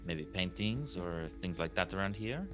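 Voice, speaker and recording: A man's voice: low-pitched, expressive, unhurried. A man playing a tabletop roleplaying game, talking casually about his character and asking questions. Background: clear background music.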